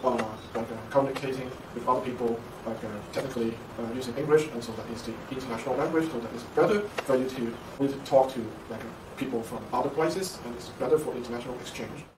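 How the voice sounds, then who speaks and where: A man's voice: medium pitch, fast, few pauses. A male university lecturer speaking in an interview, hesitant, with many "uh" and "like" fillers. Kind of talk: interview for a program promo. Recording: echoey room, distant mic, background noise.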